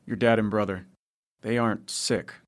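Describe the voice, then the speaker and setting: An actor playing a gruff, impatient adult man who speaks bluntly in an audio drama. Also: fairly low pitch, steady tone, moderate pace, close to an everyday voice.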